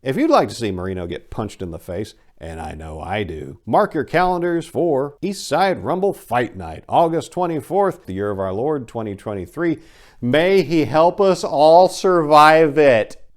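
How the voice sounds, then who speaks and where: A man with a medium-pitched voice delivers a dramatic reading as narrator. His pitch moves widely, and his manner is emphatic and theatrical. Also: very animated, unhurried. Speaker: narrator and host.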